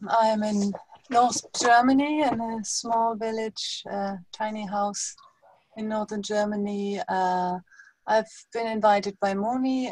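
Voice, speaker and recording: A woman's voice: medium pitch, no room sound, rough recording. Rambling woman, dry close sound. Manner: warm, rambling, laughs easily. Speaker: participant in a women's circle. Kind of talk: casual video-call introduction.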